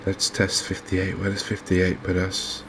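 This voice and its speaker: A man with an English accent giving softly spoken, unhurried ASMR game commentary, musing gently and hesitantly as he goes. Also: deep voice, completely flat delivery, music in the background. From England. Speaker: gaming video host. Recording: faint background noise from open windows and fans.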